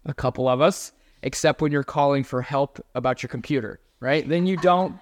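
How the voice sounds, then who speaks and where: A man's voice: mid-range voice, normal speed. Man preaching an earnest sermon. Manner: earnest, emphatic, addresses the congregation directly. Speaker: pastor.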